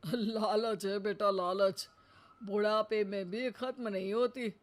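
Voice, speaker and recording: A woman's voice: mid-range voice, steady tone, slow pace, natural voice. A woman voicing a stubborn, greedy elderly mother-in-law in a cartoon, bossy and theatrical. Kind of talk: cartoon voice acting.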